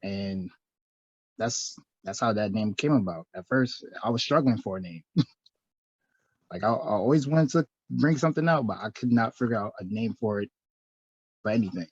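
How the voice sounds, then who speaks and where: A man answering interview questions about his clothing line in a soft-spoken, halting way, laughing between thoughts. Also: lowish voice, expressive, husky, dry recording. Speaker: interview guest, clothing designer. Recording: dry, dull lo-fi audio.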